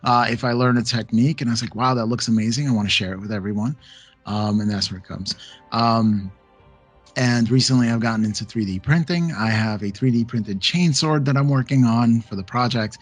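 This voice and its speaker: Soft-spoken man with a husky, relaxing voice. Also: mid-range voice, brisk, faint music behind. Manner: storytelling, laughs often, acts out quotes. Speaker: podcast guest, painting channel creator. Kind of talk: casual podcast chat.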